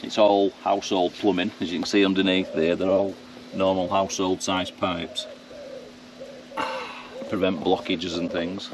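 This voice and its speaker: A man's voice: sits low, little pitch movement, a little slow, long pauses. A man with a Barnsley accent, showing his motorhome in a plain, matter-of-fact way. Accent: Barnsley, Yorkshire. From Yorkshire, England. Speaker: motorhome owner. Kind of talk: casual walkaround tour. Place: outdoors at a campsite. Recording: distant voice, wind and outdoor noise.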